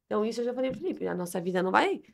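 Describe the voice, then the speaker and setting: Fast-talking woman with a gravelly edge. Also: medium pitch. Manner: animated, lively storytelling with acted quotes. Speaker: physician guest. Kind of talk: casual conversation.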